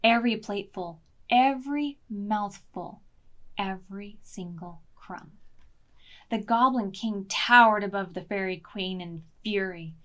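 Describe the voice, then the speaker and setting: A woman reading a picture book aloud to preschoolers in an expressive, deliberate way, as a storytime librarian. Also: medium pitch. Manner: slow, deliberate, expressive, pausing to ask questions.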